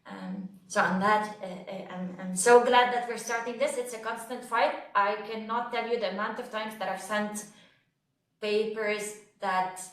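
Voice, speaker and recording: A woman moderating a panel discussion, speaking reflectively and haltingly with many fillers. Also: mid-range voice, steady tone, distant mic. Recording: echoey hall from off-mic.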